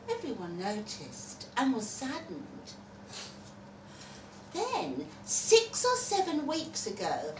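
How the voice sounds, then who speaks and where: Expressive woman storyteller in an echoey room. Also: mid-range voice. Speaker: story reader. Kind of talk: expressive live short story reading. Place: festival venue at an observatory. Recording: roomy, distant mic, background noise.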